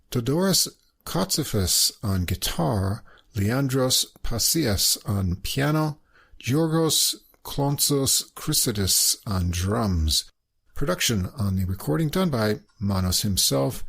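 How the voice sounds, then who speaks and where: A man with a gravelly, slightly husky voice hosts a music podcast. He reads out credits and walks through the tracks in an informative, even manner. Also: fairly low pitch. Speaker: podcast host. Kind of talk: reviewing music recordings.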